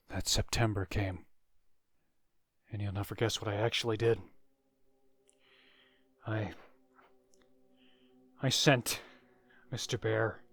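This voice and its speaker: A man narrating a scripted first-person horror story, with dramatic pauses and phrases that trail off quietly. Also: on the low side. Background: music.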